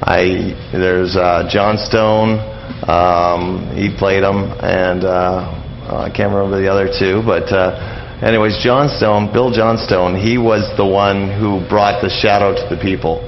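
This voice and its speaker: A deep-voiced man talks casually as a radio interview guest. He rambles, halting often and doubling back on words. The recording is dull and lo-fi, with noise in the background. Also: little pitch movement.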